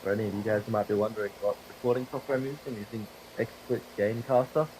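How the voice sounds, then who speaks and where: A low-pitched young man whose voice is hoarse and fraying from a cold. He rambles and swears through casual game commentary, mocking himself as he goes, into a desk mic with a faint hiss underneath. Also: steady tone, quick pace, a little mellow, low-quality recording. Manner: profane, rambling, self-deprecating. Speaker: gaming video creator. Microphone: desktop microphone.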